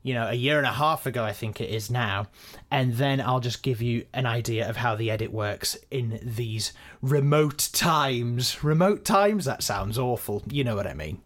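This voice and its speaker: A man with an average-pitched voice narrates a behind-the-scenes account. He sounds conversational and measured, like a director explaining his process to listeners. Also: a bit bright. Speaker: podcast director and editor.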